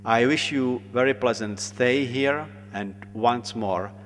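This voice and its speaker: A man's voice: medium pitch, takes it slowly, a bit bright. A man with a Czech accent, a local organizer, giving an earnest formal welcome speech with slightly non-native phrasing. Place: large conference hall. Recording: long hall reverb, faint hum.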